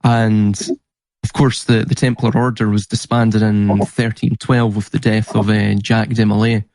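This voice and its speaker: A man's voice: on the low side, flat delivery, normal speed, a little mellow, no room sound. A man talking in a casual podcast conversation, explaining things in an earnest way. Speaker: podcast guest and researcher.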